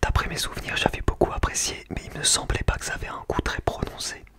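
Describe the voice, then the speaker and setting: A man speaking in a breathy, unvoiced whisper, chatting casually and in slang in an ASMR tasting style. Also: fast talker. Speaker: ASMR food-tasting video creator.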